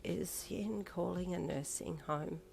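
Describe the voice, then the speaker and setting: Frail old dad, soft and slow, voice catching with emotion, as a woman reads him. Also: on the low side, creaky, faint music behind, exaggerated voice. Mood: tearful. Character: older man, frail, tearful grandfatherly father.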